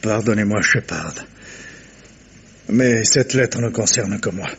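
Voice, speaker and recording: A man acting an anguished, stubborn gentleman with solemn, declamatory delivery in a 1950s French radio mystery. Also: on the low side, slow pace, breathy edge, heavily acted. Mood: curt, stubborn. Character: anguished, stubborn wealthy gentleman confiding a dark secret. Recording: noisy vintage radio recording.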